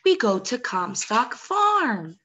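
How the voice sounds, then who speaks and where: A woman's voice: a little high, takes it slowly, husky. Young woman reading aloud to small children. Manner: expressive, addressing small children directly. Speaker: children's story time host. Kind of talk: picture-book read-aloud for young children.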